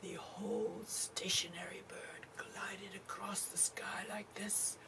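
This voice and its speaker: A woman voicing an earnest furry puppet dog, telling a halting, breathy, whispered story in a soft ASMR roleplay style. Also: mid-range voice, level tone. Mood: hushed wonder. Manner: halting, repetitive "you know", storytelling. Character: furry puppet dog, earnest, wide-eyed flying saucer witness. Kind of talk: soft-spoken ASMR roleplay, improvised narrative. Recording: noisy, distant hissy home recording.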